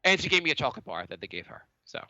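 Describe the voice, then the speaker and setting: A man's voice: fairly high pitch, very fast, no echo, dull audio. A man co-hosting a podcast, telling a story in casual chat and acting out other people's lines, a little congested from a cold. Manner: animated, acts out other people's lines in a raised voice. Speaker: podcast co-host.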